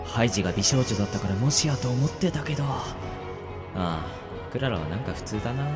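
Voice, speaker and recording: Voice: medium pitch, expressive, a little fast, over loud music, natural voice. A performer playing an exasperated, wisecracking boy in an amateur comedy radio drama, with dry retorts, muttered asides and startled outbursts. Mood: wry, baffled. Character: exasperated, wisecracking boy trapped in a swapped body.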